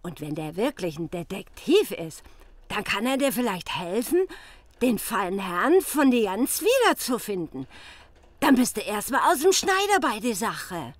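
Casting: shrewd, commanding Berlin wife, working class, blunt radio-play delivery, dry close sound. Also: female voice, medium pitch, sing-song, measured pace, dry recording. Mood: scheming. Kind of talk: German audio drama acting.

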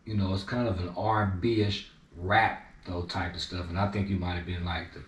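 Deep-voiced interviewer heard from afar. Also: male voice, steady tone, normal speed. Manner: easygoing, with short affirmations. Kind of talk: casual interview. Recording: distant, echoey with faint hiss.

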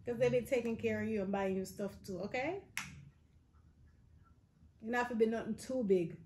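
High-pitched Jamaican woman, chatty, noisy recording. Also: moderate pace, mellow. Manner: chatty, Patois-inflected phrasing. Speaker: lifestyle vlogger. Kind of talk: casual chat to camera.

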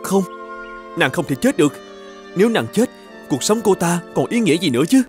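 A man's voice: sits high, sing-song, fairly quick, a little mellow, soft background music. A man voicing a brave, polite young knight in a children's cartoon. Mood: anguished. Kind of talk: children's cartoon fairy-tale dubbing.

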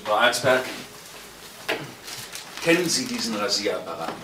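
An actor playing a polite, businesslike police investigator in a radio crime drama. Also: male voice, on the low side, sing-song, unhurried. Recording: echoey, distant, noisy radio drama.

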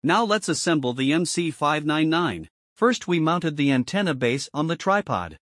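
A man's voice: a little high, animated, moderate pace, fairly bright tone. A man reading scripted instructional narration as a voice-over for a product demonstration. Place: close, dry indoor voice-over recording.

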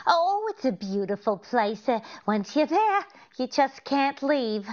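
Slightly dull audio carries a woman acting a scatterbrained, sweetly earnest wife from old radio comedy. The voice sits fairly high and swings widely, in the manner of 1940s broadcast acting. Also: big pitch swings, unhurried, a little mellow. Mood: sweetly coaxing.

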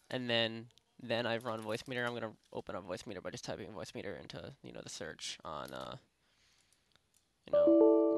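A young man casually talking through a software tutorial at his home computer, rambling with lots of fillers and restarts. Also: on the low side. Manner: rambling, many fillers and self-corrections. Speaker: tutorial host. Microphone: desktop microphone at a PC.

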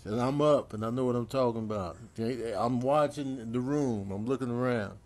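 A man's voice: mid-range voice, normal speed, a little mellow, lightly acted. A man voicing a stubborn, sleepless cat who pleads insistently in slangy, drawling talk for a comedy animal voice-over. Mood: insistent, pleading.

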